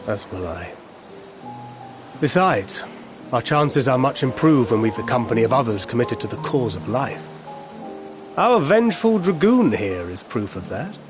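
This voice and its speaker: A worldly, philosophical character in a fantasy game. He has a medium-pitched voice with a wry, articulate delivery and quiet resolve. Music is clearly audible behind him. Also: big pitch swings, measured pace, long pauses. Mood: resolute. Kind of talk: video game cutscene acting.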